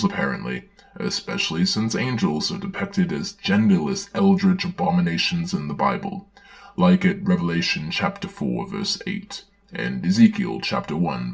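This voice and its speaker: Man, very low gravelly voice, swooping intonation, dramatic reader, sardonic asides. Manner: theatrical, wry, sardonic. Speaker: host, storyteller. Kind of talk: dramatic reading with sarcastic commentary.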